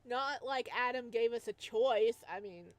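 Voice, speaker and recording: A woman's voice: a little high, a little slow, dry recording. A woman chatting casually on a livestream as she plays a game, rambling in a giggly, playful way. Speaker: live streamer playing a visual novel.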